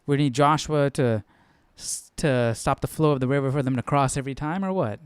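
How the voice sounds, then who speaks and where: A man's voice: medium pitch, brisk, smooth, no room sound, clean studio sound. A man giving casual, off-the-cuff commentary as host of a Bible study, questioning and conversational with repeated phrases.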